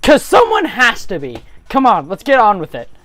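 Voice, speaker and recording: A woman's voice: on the high side, wildly swooping pitch, normal speed, fairly bright tone, dry recording, faint music behind, voice pushed up. A woman playing a stubborn, indignant young hero with emphatic delivery in an amateur dramatic reading. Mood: indignant, determined.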